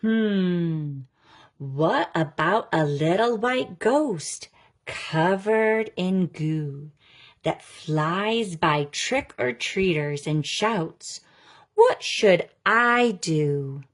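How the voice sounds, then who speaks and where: A woman reads a rhyming children's story aloud at a very slow pace. Her voice sits a little low for a woman, and she delivers each phrase deliberately and with expression. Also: animated. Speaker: story reader.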